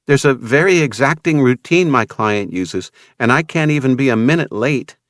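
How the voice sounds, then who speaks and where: A cynical young woman with a guarded, skeptical edge, as a male audiobook narrator puts her on. The voice sits much higher than his usual deep tone, with an altered timbre. It is recorded dry and close. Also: expressive, moderate pace, fairly bright tone, dry recording, natural voice. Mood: wary. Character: wary, streetwise young woman, tempted by money.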